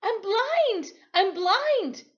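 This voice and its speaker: A woman's voice: very high-pitched, animated, very slow, a bit bright, dull audio, voice pushed up, heavily acted. A woman playing a frightened young boy from a storybook, plaintive in manner. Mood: panicked.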